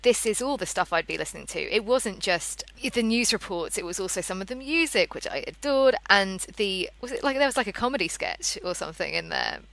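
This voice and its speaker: A woman's voice: medium pitch, lively intonation, brisk, gravelly, hardly any pauses, fairly bright tone, dry recording. A woman in her thirties hosting a casual podcast conversation, speaking in an enthusiastic, appreciative way. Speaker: podcast host.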